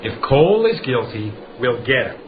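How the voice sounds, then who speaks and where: An actor playing an earnest, level-headed police detective in a radio drama, dogged and probing. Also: male voice, medium pitch, sing-song, moderate pace, lightly acted. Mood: calm, cautioning. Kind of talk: radio drama acting with narration. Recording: dull, noisy radio drama recording.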